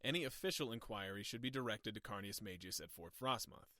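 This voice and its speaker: Man acting officious fantasy game imperial, male, lowish pitch, brusque, formal, businesslike, dry close recording. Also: lively intonation, a little fast, bright, forceful tone, no room sound. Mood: curt, businesslike. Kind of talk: game character audition read.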